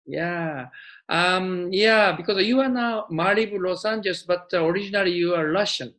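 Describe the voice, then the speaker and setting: A man's voice: high-pitched, moderate pace, fairly bright tone. A man interviewing a guest, asking probing questions in hesitant English full of fillers. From Japan.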